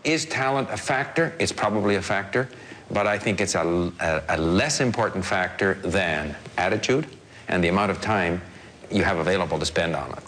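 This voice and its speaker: A man with a fairly low voice answers questions casually, telling stories in a chatty, animated way. A faint background hum sits under his speech. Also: moderate pace. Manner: anecdotal, chatty, laughs while telling stories. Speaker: interviewee, language learner.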